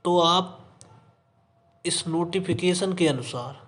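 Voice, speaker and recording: Male exam coach, raised pitch, explanatory delivery with repeated phrases and English terms mixed in. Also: takes it slowly, a bit bright. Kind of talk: explanatory lesson, reading and explaining an official notice.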